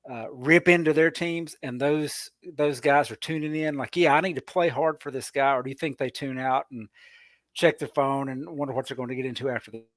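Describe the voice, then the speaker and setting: A man's voice: mid-range voice, steady tone, brisk, a bit bright, no echo. A man giving his take on a casual sports podcast, rambling and restarting his words as he thinks. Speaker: podcast panelist.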